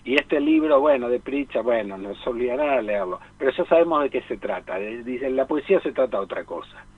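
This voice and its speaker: Husky man over a tinny line. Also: medium pitch, lively intonation, fairly quick. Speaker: film expert guest. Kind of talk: casual radio discussion of cinema. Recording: tinny phone-like radio line.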